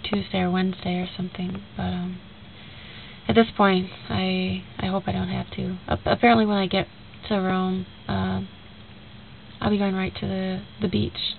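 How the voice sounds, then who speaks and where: Young woman, stuffy nasal cold voice, gravelly fry, rambling casual video message, tinny lo-fi sound with faint hiss. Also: mid-range voice. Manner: rambling, many fillers, retells dialogue.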